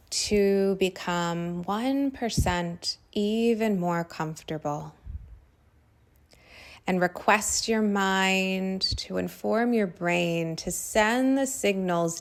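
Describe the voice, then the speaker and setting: Very slow-speaking woman guiding meditation. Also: mid-range voice, vocal fry. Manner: soothing, unhurried, short phrases with long pauses. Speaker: meditation guide. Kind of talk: guided meditation. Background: singing bowl meditation music.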